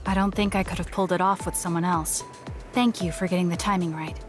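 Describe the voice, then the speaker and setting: A woman's voice: fairly low pitch, steady tone, fast talker, faint music behind, lightly acted. An actress playing a stoic, blunt young woman fighter in a video game, speaking in a matter-of-fact, terse way. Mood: calm, frank. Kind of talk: English video game voice acting.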